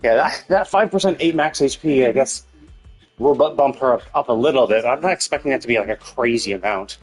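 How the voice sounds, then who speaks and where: A man streaming a game live, talking casually and haltingly as he works through numbers to himself. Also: mid-range voice, husky, soft background music. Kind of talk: casual gaming stream commentary, thinking aloud.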